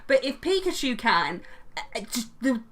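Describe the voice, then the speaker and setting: A woman's voice: fairly high pitch, sing-song, normal speed, crowd noise. A woman chatting casually as a podcast panellist, in a jokey, self-deprecating and sweary way. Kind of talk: casual chat.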